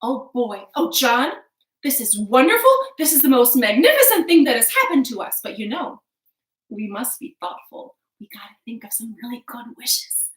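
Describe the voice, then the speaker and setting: A woman voicing a sensible, practical wife in a children's story, measured and easily exasperated. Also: a little high, big pitch swings. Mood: pleased, practical. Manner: measured, chiding. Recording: echoey living room.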